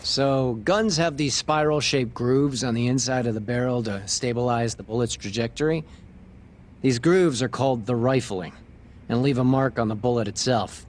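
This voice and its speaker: A man's voice: medium pitch, moderate pace, natural voice. An actor playing a shady, sarcastic male police detective with a streetwise manner in an English video game dub. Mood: matter-of-fact, irritated. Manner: sarcastic, streetwise, foul-mouthed.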